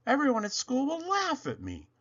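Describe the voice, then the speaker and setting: Man playing an excitable, high-pitched little locomotive. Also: moderate pace, highs cut off, exaggerated voice. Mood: determined. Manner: excitable, exclamatory. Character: eager young boy locomotive, boastful and speed-loving. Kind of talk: children's storybook character reading.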